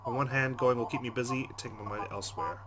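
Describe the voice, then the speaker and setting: A man's voice: medium pitch, fairly even intonation, quick pace, noisy background. A man hosting a let's play, reading visual novel narration aloud in a dramatic way. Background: game music.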